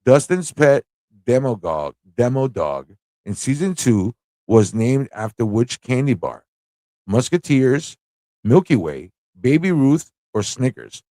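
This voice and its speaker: A man's voice: medium pitch, big pitch swings, takes it slowly, mellow, dry recording. Guy hosting a live trivia stream, super chatty n playful, voice goes up and down a lot, pretty dry sound. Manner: playful, chatty, thinking aloud to viewers. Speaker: host of a live pop culture show.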